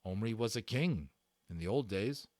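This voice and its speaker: A man's voice: on the low side, animated, moderate pace, darkish tone, dry recording, studio quality, natural voice. Man reading as an earnest, regretful boy. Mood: curious. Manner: plain, sincere, conversational phrasing. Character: earnest, regretful, gentle-natured young boy. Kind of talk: dramatic storybook character reading.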